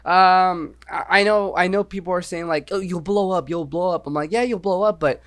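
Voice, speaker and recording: A man's voice: high voice, moderate pace, fairly bright tone. A young man co-hosting a podcast, talking casually and rambling, often asking "you know what I mean". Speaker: podcast co-host. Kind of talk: casual chat.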